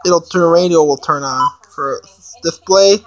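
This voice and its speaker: A woman giving a casual product demonstration, haltingly thinking aloud as she reads out menu items. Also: sits low. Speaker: amateur product reviewer.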